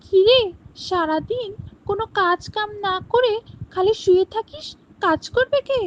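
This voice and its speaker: A woman's voice: extremely high pitch, lively intonation, moderate pace, pitched above the performer's own voice. A woman voicing a cruel, greedy stepmother in a cartoon story, talking in a harsh, scolding, sneering way. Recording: dull lo-fi with faint hiss.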